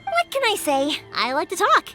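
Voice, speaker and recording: A woman's voice: extremely high pitch, normal speed, full character voice. A woman voicing an upbeat, talkative, perceptive young fireworks maker in a video game. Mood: confident, earnest. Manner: lively, encouraging, talkative. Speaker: video game character actor. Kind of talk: animated anime-style game acting. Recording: music behind the voice.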